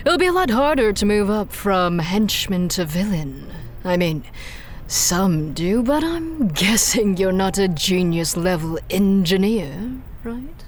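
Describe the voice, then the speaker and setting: Casting: playful corporate henchman recruiter, animated, slow-paced, radio-play delivery. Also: mid-range voice, a little hiss or hum. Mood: breezy, businesslike. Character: breezy female villain recruiter, businesslike, teasing. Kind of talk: audio drama acting.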